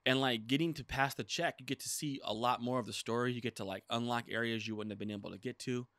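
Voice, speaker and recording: A man's voice: mid-range voice, fast talker, no room sound. A man in his thirties co-hosting a gaming podcast, talking casually and rambling with lots of filler words, on a desk mic in a small studio room. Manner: rambling, many "like" fillers, animated retelling. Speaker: podcast co-host. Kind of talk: casual chat. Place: small studio room with dark curtain backdrop. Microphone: desk mic on boom arm.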